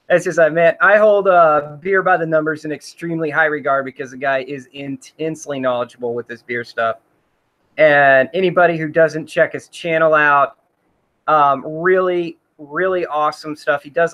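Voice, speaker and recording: A man's voice: on the high side, fairly bright tone. Chatty man hosting a livestream. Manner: rambling, many fillers, reads chat comments aloud. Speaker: host. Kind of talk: casual livestream chat.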